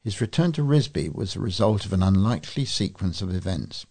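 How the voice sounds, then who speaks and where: Male, volunteer local newsreader, deep and slightly rough-toned, delivering prepared articles. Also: animated, a little fast, dark tone. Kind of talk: scripted news reading.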